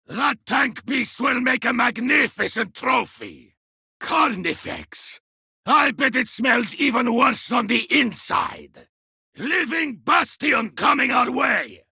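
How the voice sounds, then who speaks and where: An actor playing a brash, zealous, battle-hungry space marine with a rough gravelly voice in a video game. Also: male voice, extremely high pitch, expressive, takes it slowly, sharp tone, no echo, shouting, studio quality, heavily acted. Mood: battle roar. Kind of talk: video game combat barks.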